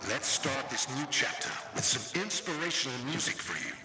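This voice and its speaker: An actor playing an upbeat, hopeful older radio host in a video game, announcing in a theatrical broadcast style. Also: male voice, medium pitch, animated, moderate pace, lightly acted. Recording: echoing broadcast with music behind.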